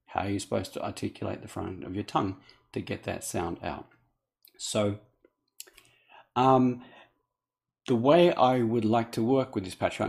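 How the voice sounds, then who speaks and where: A man's voice: low voice, creaky, darkish tone. A man from Australia, talking earnestly and unscripted as a coach, often restarting his sentences. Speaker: accent coach. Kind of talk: direct-to-camera appeal.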